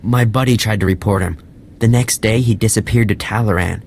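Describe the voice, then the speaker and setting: Actor as an anxious, earnest junior soldier. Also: male voice, fairly low pitch, a little fast, a little mellow, a little hiss or hum, close to an everyday voice. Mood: worried, urgent. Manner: deferential, urgent. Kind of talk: video game voice acting.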